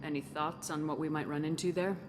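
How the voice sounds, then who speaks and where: A woman's voice: low-pitched, fairly even intonation, brisk, noisy background, close to an everyday voice. An actress playing a tough, guarded female military commander in a video game, speaking bluntly and firmly. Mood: firm, probing. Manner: blunt, firm, questioning. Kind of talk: video game cutscene acting. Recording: music behind the voice.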